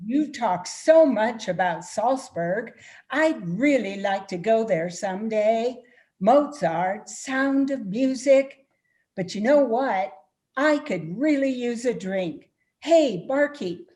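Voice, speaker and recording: A woman acts an upbeat young female electrical worker in a radio play. Her voice is fairly high and swings widely in pitch. She speaks slowly, with folksy, exclamatory chattiness. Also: animated, mellow. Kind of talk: amateur readers' theatre radio play.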